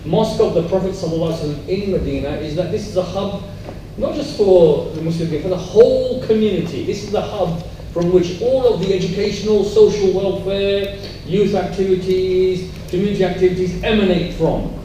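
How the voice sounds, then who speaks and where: A man's voice: high-pitched, fairly quick, hardly any pauses, mellow. A man giving an earnest, emphatic panel answer at a community talk, repeating his key points. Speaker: panel speaker. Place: large echoey room at a public event. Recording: very echoey hall, distant mic, faint noise.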